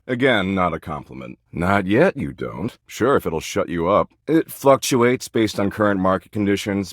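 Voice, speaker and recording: An actor playing a smug, crude, sarcastic embodiment of existential dread in a dating game, snarky, dismissive and boastful. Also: male voice, sits low, fairly bright tone, no room sound, studio quality, full character voice. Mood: bored, cocky. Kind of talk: dating sim character dialogue.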